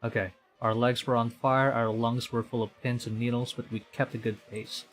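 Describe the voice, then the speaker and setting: A man's voice: mid-range voice, little pitch movement, normal speed. A man from the Philippines streaming a visual novel, reading the story aloud and muttering his own reactions in between. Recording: music behind the voice. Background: game music.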